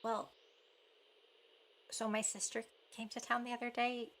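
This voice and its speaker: Creaky-voiced, guarded young woman. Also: on the high side, level tone, fairly bright tone. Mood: regretful, pleading. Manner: hesitant, halting, blunt when rattled. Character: guarded, conflicted young woman chatting over two-way radio. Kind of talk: naturalistic radio play acting.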